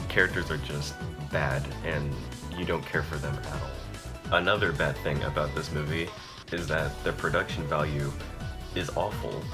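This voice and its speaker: A young man with an extremely deep voice reviews a film in a loose, exasperated chat. He pads his talk with fillers and short laughs. Music plays clearly behind him. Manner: chatty, exasperated, filler-heavy with frequent laughs. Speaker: video reviewer. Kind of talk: casual movie review commentary.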